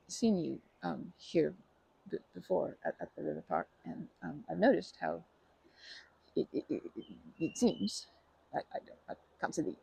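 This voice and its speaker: A timid, kind stranger played by a performer for an ASMR roleplay. The voice is husky and breathy, heavily creaky, and sits at a middling pitch. It stumbles over words and apologizes anxiously, wary of intruding. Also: a little mellow, full character voice, poor audio. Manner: halting, stammering, over-apologetic, nervous laughter. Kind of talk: ASMR roleplay, improvised-sounding monologue to listener.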